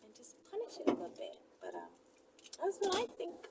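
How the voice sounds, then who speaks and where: A woman talking casually and candidly to viewers as a question and answer host, with playful, drawn-out emphasis on words. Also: very high-pitched, big pitch swings. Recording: distant mic with loud traffic and vehicle noise. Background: traffic noise through an open window.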